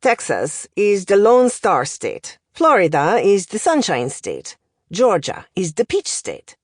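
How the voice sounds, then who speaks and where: Woman putting on a lively older professor. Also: mid-range voice, slow, no room sound, studio quality, full character voice. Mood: chatty, proud. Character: older male Romanian language professor, opinionated, smoking, encouraging.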